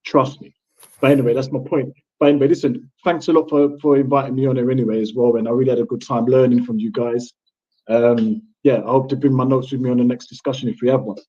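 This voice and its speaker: A man born in England and raised in Jamaica, speaking on a live panel stream. His voice is dark and slightly husky, and his loose, rambling talk comes through a muffled, lo-fi call connection. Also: mid-range voice, quick pace. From born in England, grew up in Jamaica. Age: adult father with children. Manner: relaxed, rambling with many restarts. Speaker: panel guest. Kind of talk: casual livestream chat.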